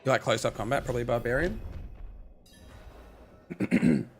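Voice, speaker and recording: A man with a hoarse, worn voice, casually commentating a game stream to his chat and thinking aloud with lots of fillers. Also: medium pitch, animated, normal speed. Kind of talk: casual live-stream commentary, chatting with viewers. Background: game music.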